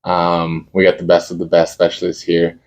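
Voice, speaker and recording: A man's voice: deep voice, completely flat delivery. A young man, a college football kicker from Dallas whose first language is Spanish, answering interview questions casually and searching for words. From Dallas, Texas. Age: young adult. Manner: halting, searching for words with many fillers.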